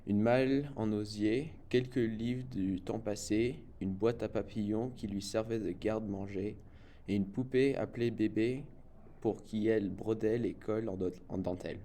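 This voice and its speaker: Young man reading a storybook, mellow and noisy. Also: fairly low pitch, fairly even intonation, measured pace. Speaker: student reader. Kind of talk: read-aloud children's storybook narration. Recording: noticeable background noise.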